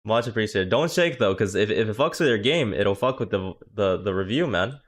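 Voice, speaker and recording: A man's voice: on the low side, expressive. A young man streaming, chatting casually and joking with his viewers. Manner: profane, joking, quick reactions. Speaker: streamer and coach. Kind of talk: casual livestream chat.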